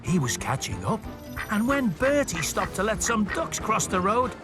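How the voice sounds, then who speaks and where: Animated male children's storyteller. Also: sits high, moderate pace. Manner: expressive, addresses young viewers directly. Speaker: storyteller and presenter. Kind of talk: children's story reading and step-by-step craft narration. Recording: music behind the voice. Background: music.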